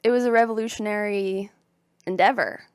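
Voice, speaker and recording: A woman's voice: mid-range voice, steady tone, a little fast, clean tone, no room sound. A woman sitting in as co-host on a casual radio show, thoughtful and a little hesitant, often saying "like" as she works out her point. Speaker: radio board operator and co-host. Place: radio studio. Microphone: studio microphone.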